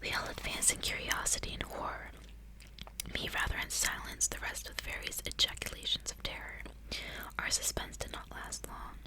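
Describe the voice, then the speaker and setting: Woman whispering softly in ASMR style. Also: normal speed, low-quality recording. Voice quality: breathy unvoiced whisper. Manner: soft, hushed, intimate delivery. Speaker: ASMR creator reading a novel aloud. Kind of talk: whispered ASMR audiobook reading with casual asides.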